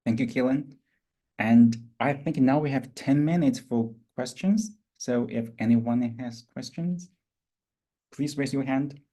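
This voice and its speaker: Mellow-voiced woman chairing a session. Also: a bass voice, a little slow. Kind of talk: brief moderating remarks.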